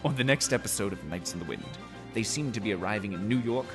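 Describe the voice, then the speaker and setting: A man's voice: lowish voice, animated, fast talker, over music. A man narrating an amateur comedic story in a mock-dramatic manner.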